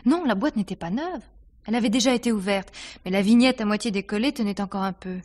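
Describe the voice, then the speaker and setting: An actress playing a self-possessed, sardonic theatre star under suspicion in a 1950s-style French radio mystery, with a dry, poised delivery. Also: female voice, medium pitch, quick pace, darkish tone, lightly acted. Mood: matter-of-fact. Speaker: radio drama actor.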